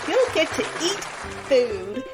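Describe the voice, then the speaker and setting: High-voiced chirpy prize presenter. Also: female voice, big pitch swings, slow pace, over music, a crowd in the background, exaggerated voice. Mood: chirpy menace. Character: chirpy female prize model, cheerfully menacing. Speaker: game show presenter. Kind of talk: game show prize announcing in audio comedy.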